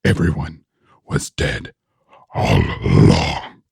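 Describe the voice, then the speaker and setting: A man playing a muddled filmmaker in a comic radio sketch, pitching ideas haltingly in a gravelly, husky voice. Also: sing-song, darkish tone, dry recording, clean studio sound, heavily acted. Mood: dramatic pitching. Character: male filmmaker obsessed with plot twists, muddled, brainstorming aloud. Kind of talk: comic audio sketch acting.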